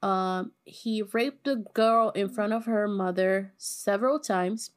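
A woman's voice: mid-range voice, steady tone, takes it slowly. A young woman co-hosting a true-crime podcast, recounting case details in a laid-back way with lots of fillers. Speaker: podcast co-host. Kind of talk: casual true-crime narration.

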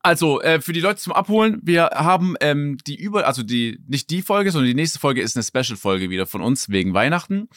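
A man's voice: on the high side, very animated, brisk, few pauses, fairly bright tone, dry recording, clean studio sound. A man co-hosting a podcast in casual, slangy banter. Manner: informal, youth slang. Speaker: podcast co-host.

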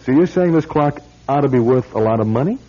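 A wry, impatient young bachelor in an old radio drama. His voice is soft-edged and husky with very lively pitch. The recording is dull and lo-fi. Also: brisk. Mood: skeptical, puzzled. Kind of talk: classic radio drama acting.

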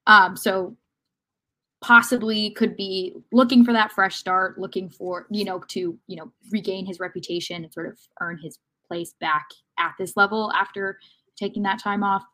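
A woman's voice: mid-range voice, fairly even intonation, moderate pace, bright, forceful tone. Woman thinking aloud in casual sports talk. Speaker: sports podcast panelist. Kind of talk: casual football discussion.

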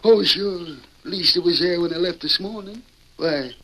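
An actor playing an excitable, folksy storekeeper with an American Western drawl in an old-time radio western, full of grumbling rustic talk. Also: male voice, high voice, normal speed. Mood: puzzled, grumbling. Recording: noisy old radio recording.

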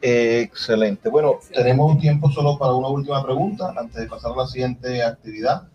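A man's voice: mid-range voice, a little fast, mellow, muffled recording. A man moderating a live event, asking questions in an informal, hesitant way. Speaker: moderator taking audience questions. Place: theatre book presentation. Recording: distant microphone, music behind.

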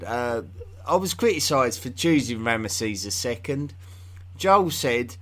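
A man's voice: medium pitch, fairly bright tone. A man from England with an English accent, hosting a history podcast in an unscripted, rambling monologue full of fillers and restarts.

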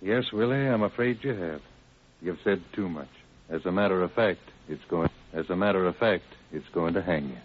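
A man's voice: sits low, normal speed, noisy background, natural voice. A man playing a stern, relentless police interrogator in a 1930s radio crime drama. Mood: grimly certain. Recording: muffled, tinny old radio recording.

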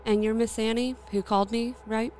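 A woman playing a reassuring demon hunter in a radio play, gentle and assured with a folksy touch. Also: fairly high pitch, steady tone, brisk, a little hiss or hum, lightly acted. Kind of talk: scripted radio play acting.

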